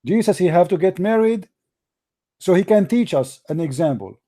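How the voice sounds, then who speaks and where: A man's voice: sits high, animated, normal speed, dark tone, no room sound, clean studio sound. Tired-voiced man arguing. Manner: combative, repeats himself, stumbles. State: tired, dry voice. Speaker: debate show host. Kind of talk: heated call-in debate.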